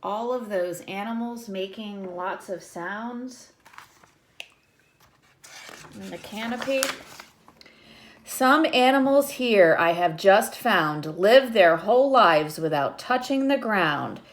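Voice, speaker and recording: A woman reading a children's picture book aloud like a teacher, with expressive delivery and short explanatory asides to young listeners. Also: mid-range voice, takes it slowly, pauses often, slight background noise.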